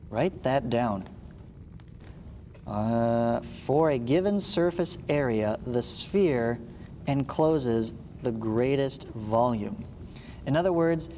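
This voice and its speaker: A man's voice: a little high, a little mellow, in a large hall, slight background noise. A man teaching a read-along lesson, reading aloud and explaining directly to the listener as he goes. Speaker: geometry teacher.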